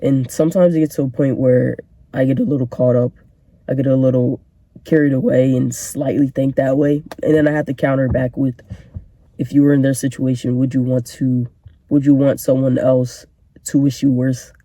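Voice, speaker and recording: Congested young man, dark mellow voice. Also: mid-range voice, little pitch movement, normal speed, dry recording. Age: young adult. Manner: earnest motivational, joking asides. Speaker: podcast host. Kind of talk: casual solo podcast talk.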